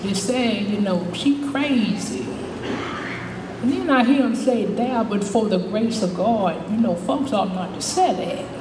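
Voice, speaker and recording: A woman's voice: medium pitch, lively intonation, a little slow, natural voice. A woman playing a chatty, good-humored older homeless widow, telling her story in a folksy, rambling stage monologue. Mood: wistful, resigned. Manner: folksy, rambling, repeats "you know", chuckling. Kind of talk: dramatic monologue, storytelling to a live audience. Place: indoor stage performance, distant microphone. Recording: echoey hall from far off, faint noise.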